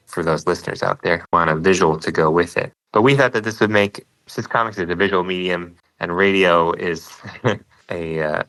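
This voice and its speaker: A man's voice: low-pitched, bright, forceful tone, poor audio. A man, a comic author, giving a conversational introduction to an audio clip.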